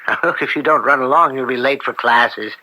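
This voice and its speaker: An actor playing an upright, principled judge and father in old-time radio drama, measured and earnest. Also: mid-range voice, sharp tone, poor audio.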